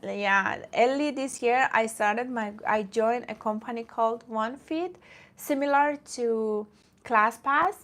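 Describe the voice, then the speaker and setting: A woman's voice: on the high side, takes it slowly, fairly bright tone. A woman from Iran who streams about fitness, chatting casually with viewers in a rambling way and often breaking off to greet commenters.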